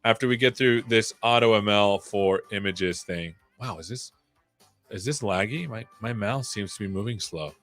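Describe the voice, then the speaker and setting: A man's voice: fairly low pitch, moderate pace, a bit bright, soft background music. A man hosting a casual livestream, rambling playfully with jokey asides. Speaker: live show host. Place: own room at home.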